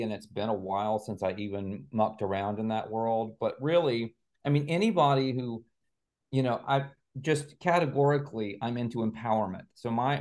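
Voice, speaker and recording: A man's voice: mid-range voice, a little mellow. A man speaking as an interview guest, explaining speculative ideas in an articulate, assertive, conversational way. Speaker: interview guest, writer and researcher.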